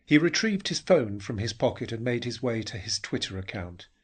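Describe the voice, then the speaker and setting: A man reading a dark short story as a dramatic narrator, in a brooding, theatrical manner that can drop towards a whisper. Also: mid-range voice, sing-song, normal speed, husky.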